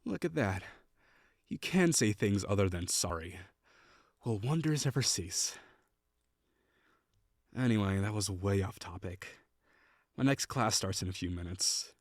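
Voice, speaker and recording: A man's voice: on the low side, expressive, no room sound, clean studio sound. A young man playing a haughty, sarcastic college rival who is secretly lonely, in a scripted audio roleplay. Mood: wistful. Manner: sarcastic, scornful, quick to bristle.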